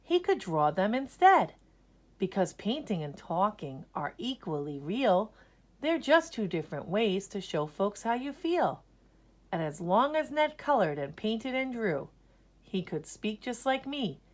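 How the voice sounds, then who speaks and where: A woman reading a children's story aloud in a rhythmic, expressive way, with dramatic emphasis on key words. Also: mid-range voice, measured pace.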